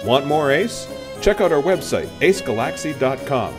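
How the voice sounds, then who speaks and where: A man's voice: on the high side, very animated, moderate pace. A man reading a promotional podcast announcement. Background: music.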